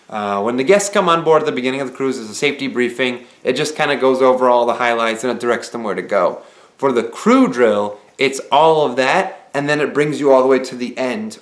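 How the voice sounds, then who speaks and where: A man in his thirties chats straight to camera from a small room at home, explaining things in an easygoing way and leaning on "uh" and "um". His voice sits at an ordinary middle pitch. The camera mic catches a good deal of room echo around him. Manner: conversational, explanatory, frequent "uh" and "um" fillers. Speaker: vlogger and former cruise ship entertainer. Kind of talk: casual advice vlog to camera.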